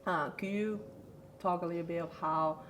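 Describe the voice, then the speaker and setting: A woman co-host with a somewhat low voice keeps a casual interview moving. She sounds encouraging and giggly, with loose, meandering phrasing. Faint background noise sits under her voice. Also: fairly even intonation, moderate pace, fairly bright tone. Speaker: podcast co-host.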